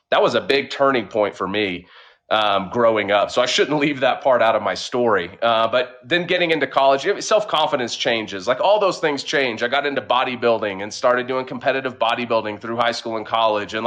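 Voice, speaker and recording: A man's voice: mid-range voice, a bit bright. A man with a light Oklahoma drawl talks through his background in an easygoing, conversational way. He stretches some words out and leans on fillers as he goes, his voice sitting in an ordinary middle register. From Oklahoma, USA. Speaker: podcast guest, entrepreneur. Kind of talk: casual interview storytelling.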